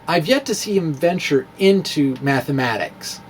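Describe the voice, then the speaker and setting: A man's voice: a little high, expressive, moderate pace, a little hiss or hum. Wry man demonstrating math with clay. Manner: wry, self-mocking, chuckles at own jokes. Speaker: physics blogger demonstrating math. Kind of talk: playful demonstration with crafts.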